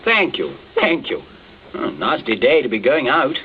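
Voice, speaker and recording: Bluff, blustery English captain, very animated. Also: male voice, medium pitch, a little slow. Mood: bluff, rueful. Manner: blustery, clipped upper-class phrasing. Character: hearty, bluff English captain, a jilted neighbour. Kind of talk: 1940s radio suspense acting. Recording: dull, hissy old radio broadcast.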